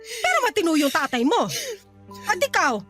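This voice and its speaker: A woman's voice: very high voice, very animated, normal speed, fairly bright tone, soft background music, heavily acted. An actress playing a blunt, exasperated mother scolding her grown daughter in a radio drama. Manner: blunt, reproachful, lecturing.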